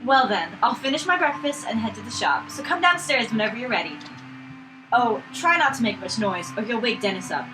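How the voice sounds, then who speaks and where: A motherly, teasing florist from a visual novel as a woman acts her out. The voice is fairly high with lively swings, and music plays behind it. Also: brisk, lightly acted, low-quality recording. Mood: brisk, friendly.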